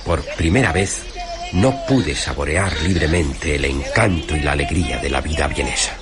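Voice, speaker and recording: A man reading a literary story in the first person, theatrical and measured, with deliberate pauses between phrases. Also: extremely low pitch. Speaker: narrator reading a short story. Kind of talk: dramatic literary radio narration in first person. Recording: music under the voice. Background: music.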